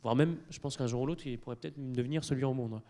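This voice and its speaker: A man's voice: medium pitch, fast, mellow. Hesitant male panelist of Italian origin. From Italy. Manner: hesitant, many fillers and restarts. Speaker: conference panelist. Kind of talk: explanatory talk to an audience. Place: university lecture hall.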